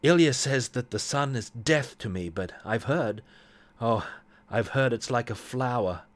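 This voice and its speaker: A man's voice: medium pitch, expressive, measured pace, natural voice. A man voicing a fragile, yearning young witch from a gothic story, wistful and dramatic.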